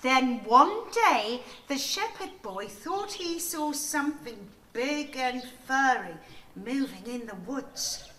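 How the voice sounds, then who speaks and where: High-pitched, slow woman storyteller. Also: expressive, pushed and bright, a little hiss or hum. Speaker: narrator. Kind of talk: scripted storybook narration. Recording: echoey room with music behind.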